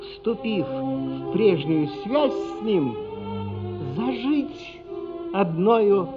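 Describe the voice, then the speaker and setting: Male narrator for radio drama: fairly high voice, unhurried, dramatic literary delivery. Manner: expressive, dramatic, deliberate phrasing. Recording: dull lo-fi radio play, music underneath. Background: music.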